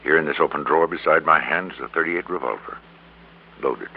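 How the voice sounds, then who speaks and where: A middle-aged schemer at the end of his rope in a vintage radio drama. His very deep, creaky voice halts and repeats itself with resignation. The sound is crackly and narrow, like an old broadcast. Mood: weary, defeated. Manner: halting, resigned, repeats words for emphasis. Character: defeated, greedy middle-aged businessman confessing to murder.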